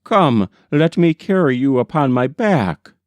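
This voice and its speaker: Man acting a jumpy, urgent grandson, animated pitch. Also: measured pace, dry recording. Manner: urgent, exclaiming. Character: jumpy, fearful grandson hero of a folk tale.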